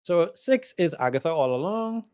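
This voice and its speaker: Man, fairly high voice, podcast panelist, casual review chat, explanatory, opinionated asides. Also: big pitch swings, normal speed, mellow, smooth.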